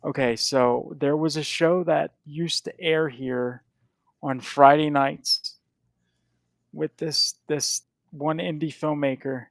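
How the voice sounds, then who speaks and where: Gravelly profane man chatting casually. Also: a little high, steady tone, slow, dry recording. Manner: rambling, profane, restarts often. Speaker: podcast host. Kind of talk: casual chat.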